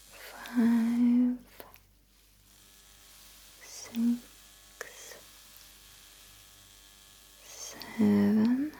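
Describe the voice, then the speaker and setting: A woman whispering breathily in an ASMR roleplay as a sci-fi technician, giving soft, reassuring instructions close to the microphone. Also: on the high side, gravelly, breathy edge, poor audio.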